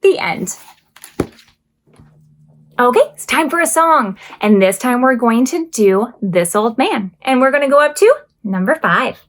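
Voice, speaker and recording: A woman's voice: a little high, expressive, unhurried. A woman hosting a library storytime for preschoolers, reading aloud and giving instructions in simple, child-directed phrases.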